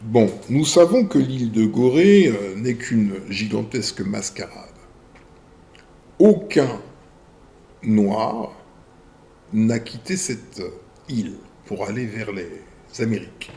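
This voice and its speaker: A man with a rough, gravelly edge to his voice reads viewer letters aloud on his channel and answers them. His pitch rises and falls a lot. He talks slowly and carefully, in short clipped phrases broken up by pauses. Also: lively intonation, dark tone. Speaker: video channel host.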